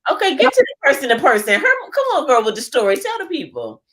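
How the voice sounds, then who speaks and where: A woman's voice: sits high, fast talker. A woman co-hosting a casual chat show, teasing her co-host and pushing her to get on with the story. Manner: teasing, animated, prods for the story.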